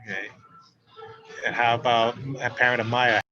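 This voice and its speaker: A man hosting a webinar panel, asking halting questions with restarts over a video call. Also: mid-range voice, level tone, bright, forceful tone, muffled recording, a little hiss or hum. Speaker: panel host.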